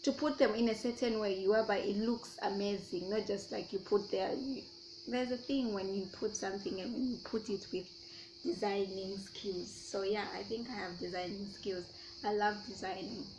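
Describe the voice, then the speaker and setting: A woman's voice: mid-range voice, steady tone, normal speed, noisy background. A young Zambian woman with a Zambian accent, chatting casually as she answers her own questions in a vlog. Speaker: YouTuber.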